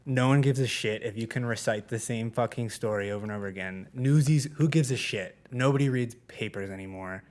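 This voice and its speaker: A man's voice: mid-range voice, a little fast, hardly any pauses, darkish tone. A young man co-hosting a podcast, joking around and going off on mock rants on a small studio stage. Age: young adult. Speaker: podcast co-host. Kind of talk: casual chat. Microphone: handheld mic.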